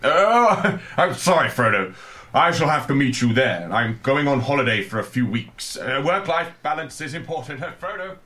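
A man's voice: medium pitch, big pitch swings, moderate pace, pushed and bright. A man playing a kindly, rambling old wizard who speaks in wordy, portentous storytelling for an amateur comic pantomime. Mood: sheepish.

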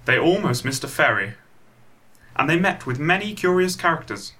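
A man's voice: a little high, very animated, moderate pace, sharp tone. A man playing a wry, impatient narrator telling a comic pantomime story from a script. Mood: storytelling.

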